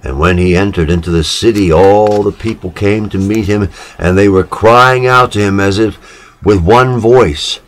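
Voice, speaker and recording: Male literary reader, deep voice, unhurried and deliberate, solemn delivery with frequent pauses. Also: rough recording. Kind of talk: solemn literary prose-poetry reading.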